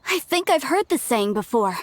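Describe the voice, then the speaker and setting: A woman's voice: high-pitched, very animated, moderate pace, a little hiss or hum. An actress voicing an earnest, idealistic young female archaeologist in a video game. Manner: earnest and easily stirred. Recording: game score playing faintly.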